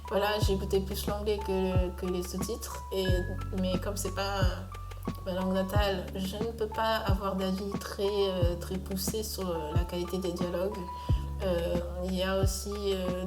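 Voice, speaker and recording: A young woman talks through her review in a relaxed, unscripted way, stumbling and restarting often, her pitch sitting right in the middle. Loud background music runs under her voice. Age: young adult. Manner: hesitant, many filler sounds and restarts. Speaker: video essayist reviewing a cartoon. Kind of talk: casual spoken review commentary. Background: music playing.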